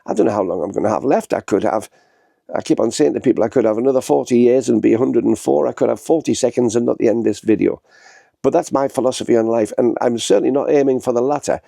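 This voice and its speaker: Bunged-up guy in his 60s, gravelly, rambling vlog chat into a little camera. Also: mid-range voice, fast talker, dark tone, dry recording, studio quality. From northern England. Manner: rambling, chatty, many fillers and restarts. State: congested with a head cold. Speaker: vlogger. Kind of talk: casual talking-to-camera vlog. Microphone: small handheld camera.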